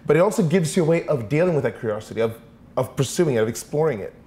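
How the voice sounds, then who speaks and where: A man's voice: a little high, animated, quick pace, warm tone. A man in his forties from Sweden, answering interview questions in an office, thoughtful and often repeating words as he forms his ideas. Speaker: interview guest. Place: office with large windows.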